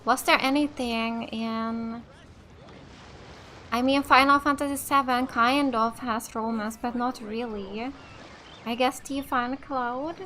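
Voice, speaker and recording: A female gamer from Finland with as her language. Her voice is high and bright, and she muses slowly and casually through her gameplay with music behind. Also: fairly even intonation, a little hiss or hum. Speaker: gamer, let's play host. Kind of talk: casual let's play commentary.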